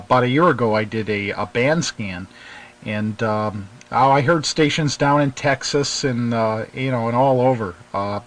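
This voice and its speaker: Man, average pitch, halting delivery, casual interviewer, hobby radio host. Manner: hesitant, halting.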